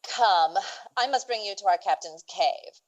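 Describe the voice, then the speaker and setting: A woman playing a giggly young female outlaw with a slow valley-girl drawl. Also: mid-range voice, lo-fi sound, close to an everyday voice. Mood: teasing.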